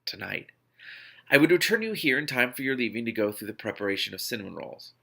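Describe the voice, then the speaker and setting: A man voicing a calm, formal vampire in a novel reading, slow, patient and measured. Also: mid-range voice, vocal fry, slightly rough, full character voice.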